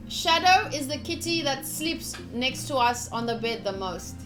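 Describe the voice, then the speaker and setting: A woman streaming a game, reading story text aloud in an expressive, chatty way with casual asides. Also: sits high, a little slow. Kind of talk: live visual novel playthrough, reading aloud with casual asides. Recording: soft music behind voice. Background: game music.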